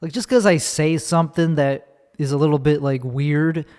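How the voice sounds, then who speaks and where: A man's voice: on the high side, fairly even intonation, moderate pace, a little mellow. Profane ranting man with a Chicago accent. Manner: profane, rambling, restarts mid-sentence. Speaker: comedian and podcast host. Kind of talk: ranting solo podcast monologue. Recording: long reverb, echoey room.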